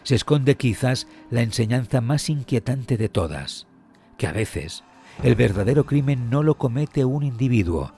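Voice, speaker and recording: A man reading scripted documentary narration in a solemn, measured, dramatic way with weighty pauses. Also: medium pitch, animated, mellow, breathy edge. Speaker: true crime podcast narrator. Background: background music.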